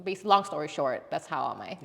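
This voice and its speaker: A woman chatting casually and telling personal anecdotes in an animated, giggly way, saying "like" often. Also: low voice, a little fast, vocal fry. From born in Singapore, moved to the US as a child. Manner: animated, giggly, peppers speech with "like". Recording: reverberant hall-like room.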